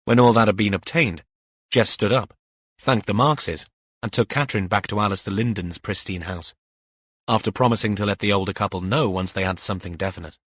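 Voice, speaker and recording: A man's voice: lowish voice, a little fast, a bit bright, slightly rough, no echo. A man reading a mystery novel as scripted audiobook narration.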